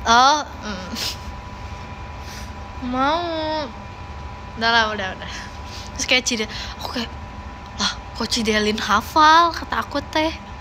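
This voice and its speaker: A woman's voice: on the high side, animated, slow pace, long pauses, noisy background. A woman of nineteen, chatting casually and playfully on a livestream, often repeating words as she answers viewers. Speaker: idol livestream host.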